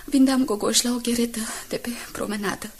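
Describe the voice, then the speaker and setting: An actress in a Romanian radio drama playing a frail, anguished young woman who confesses her past haltingly and intimately. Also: a little high, steady tone. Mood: sorrowful, hushed. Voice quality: husky, breathy. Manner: halting, pained, intimate storytelling.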